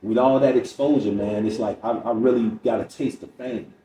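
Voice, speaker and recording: Roomy, mellow middle-aged man telling stories. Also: medium pitch, fairly even intonation, moderate pace. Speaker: comedian guest. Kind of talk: candid podcast storytelling. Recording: roomy echo, distant mic, faint noise.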